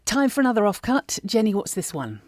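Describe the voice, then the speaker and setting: A woman's voice: fairly high pitch, big pitch swings, brisk. A woman hosting a conversational podcast interview.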